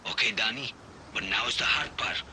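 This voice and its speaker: An actor playing an earnest rebel elder in a video game. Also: male voice, fairly low pitch, brisk, sharp tone, a little hiss or hum. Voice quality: earnest, grave.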